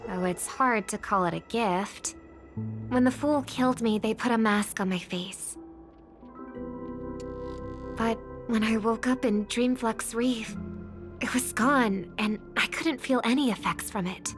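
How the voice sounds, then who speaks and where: Gentle, high-voiced young woman, slow and earnest played by an actress. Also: close to an everyday voice. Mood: soft, pensive. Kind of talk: video game voice acting, English dub. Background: background music.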